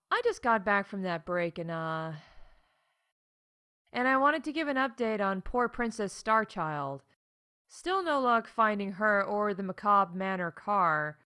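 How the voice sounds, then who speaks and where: A woman playing a flustered, fed-up theme park announcer in a comedic audio drama, halting and exasperated. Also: medium pitch, bright, forceful tone. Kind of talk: scripted comedic in-world announcement broadcast.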